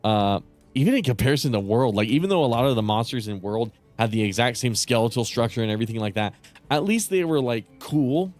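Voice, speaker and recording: Rambling man streaming, voice going raspy. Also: medium pitch, animated, brisk, a little mellow, soft background music. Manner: rambling, profane, many fillers and restarts. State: voice getting raspy. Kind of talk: casual live-stream chat with viewers.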